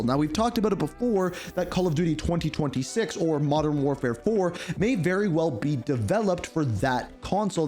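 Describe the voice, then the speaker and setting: A man hosting a casual gaming news show, chatty and opinionated, congested and hoarse from a cold. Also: fairly high pitch. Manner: chatty, opinionated, lively emphasis.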